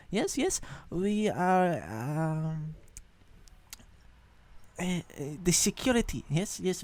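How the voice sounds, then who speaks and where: A woman's voice: on the low side, a little mellow. A woman voicing a poised, politely commanding snake-bodied adventurer in a tabletop game, with formal phrasing and questioning hums.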